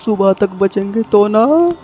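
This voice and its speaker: A man's voice: high-pitched, animated, takes it slowly, a little mellow, muffled recording. A man voicing a cowardly young man lost in a haunted forest, fearful and whining. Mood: anxious.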